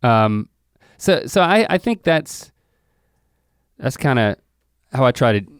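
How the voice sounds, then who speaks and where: An easygoing man in a casual chat, talking in a relaxed, philosophical way. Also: medium pitch, slow, clean tone, no room sound, clean studio sound.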